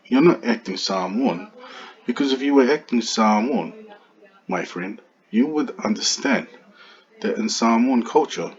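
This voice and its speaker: A man arguing back at a commenter in a heated monologue, firing off rhetorical questions. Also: on the low side. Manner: argumentative, full of rhetorical questions. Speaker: vlogger replying to a commenter. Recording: dull lo-fi recording.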